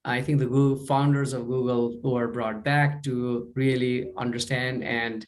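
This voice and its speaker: A man's voice: mid-range voice, little pitch movement, a little slow. A man from Nepal with a Nepali accent speaks conversationally as an interview guest, explaining things with frequent "you know" and "right?" tags. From Kathmandu, Nepal. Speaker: company co-founder being interviewed.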